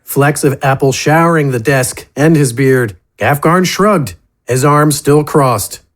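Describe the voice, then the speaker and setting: A man narrating a fantasy story from a script in a measured, descriptive storytelling manner. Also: a little high.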